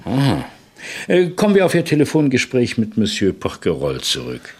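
A methodical police inspector in a vintage radio thriller. He questions deliberately, musing and pausing, his medium-pitched voice rising and falling in an animated way. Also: husky. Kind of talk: 1970 German radio play acting.

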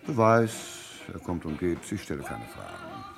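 Very deep, offhand, gossipy man. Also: huge pitch swings, moderate pace, creaky, faint music behind, heavily acted. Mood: evasive, offhand. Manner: slangy, offhand. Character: evasive, slangy, gossipy man questioned by police. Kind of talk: radio crime drama acting.

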